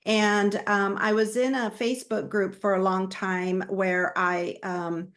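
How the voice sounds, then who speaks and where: A woman's voice: medium pitch, level tone, takes it slowly, a bit bright. A woman guest in a spiritual interview, speaking earnestly and searching for words as she shares her experiences. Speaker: interview guest, holistic health facilitator.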